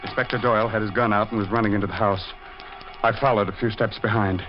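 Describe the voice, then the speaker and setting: Man playing old time radio detective narrator guy, suspenseful delivery, lowish, muffled with music. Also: steady tone, fast, lightly acted. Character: earnest amateur sleuth narrating his own ordeal. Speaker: narrator and protagonist. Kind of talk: dramatic 1940s radio mystery narration.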